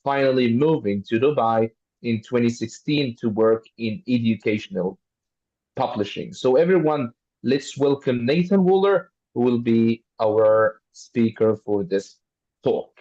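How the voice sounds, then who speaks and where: A man's voice: medium pitch, lively intonation, measured pace, warm tone. Man introducing a speaker over a dull line. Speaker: conference host. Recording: slightly dull video call audio.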